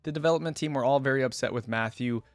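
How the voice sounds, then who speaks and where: A man's voice: medium pitch, fast talker, no room sound. A man hosting a reaction video, commenting casually and musing aloud in an informal, conversational way. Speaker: host of a reaction channel.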